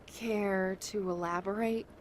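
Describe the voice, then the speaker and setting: A woman playing a polite, mild, curious woman in her twenties in a fan audio drama, speaking in a measured way. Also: medium pitch, steady tone, natural voice. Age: 20s. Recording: clearly noisy background ambience.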